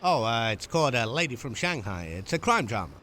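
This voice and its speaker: An actor playing a theatrical, self-important 1940s Hollywood film director in an audio drama, with a breezy, wry manner. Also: male voice, mid-range voice, big pitch swings, brisk, pushed and bright, soft background music.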